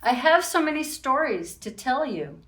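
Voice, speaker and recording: A woman's voice: high voice, sing-song, normal speed, a bit bright, slight background noise. A woman, a children's librarian, hosting storytime and reading aloud expressively to young children, with sing-song rhymes. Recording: roomy echo, distant from the mic.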